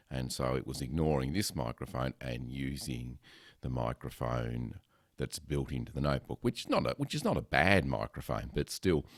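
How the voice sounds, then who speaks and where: A man who runs a podcast, chatting casually about his recording gear in a loud, resonant voice, rambling with lots of ums and self-corrections into a close headset microphone. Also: very deep voice, no echo. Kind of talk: casual gear talk to camera. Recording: dry, close sound.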